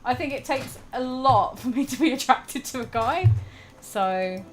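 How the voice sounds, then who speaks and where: A woman in casual gaming chat, rambling and self-deprecating, laughing often, her voice going shrill when she gets excited. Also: measured pace, slight background noise. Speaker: gamer co-host. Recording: music playing behind.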